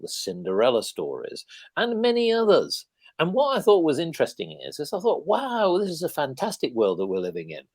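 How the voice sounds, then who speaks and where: Male co-host, lively varied pitch, theatrical conversational presenting, dry recording. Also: dry recording. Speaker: co-host of a talk show. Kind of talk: conversational radio-style commentary.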